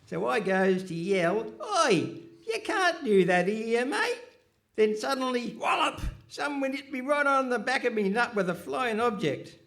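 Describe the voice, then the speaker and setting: High, creaky whining comic tramp. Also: male voice, big pitch swings, unhurried, fairly bright tone, heavily acted. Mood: cheeky, grumbling. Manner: slangy, whining comic delivery. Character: bumbling male tramp, cheeky and long-suffering. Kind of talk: Goon Show style radio comedy acting. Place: live stage performance in a hall.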